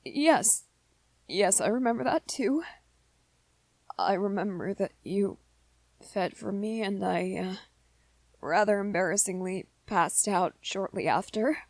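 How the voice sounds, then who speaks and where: A woman acts out a gentle young vampire boyfriend for an ASMR roleplay. He speaks very slowly and softly, hesitating and stammering as he anxiously apologizes. The sound is close and dry. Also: mid-range voice, expressive, no echo. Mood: nervous, hesitant. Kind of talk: ASMR roleplay, scripted one-sided dialogue to listener.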